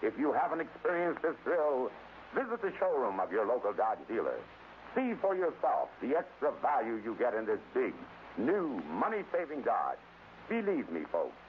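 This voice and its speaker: Casting: male vintage radio ad announcer, folksy and emphatic, very animated intonation, on a muffled, faintly noisy recording. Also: on the high side. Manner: folksy, emphatic salesman patter. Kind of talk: 1930s radio sales pitch.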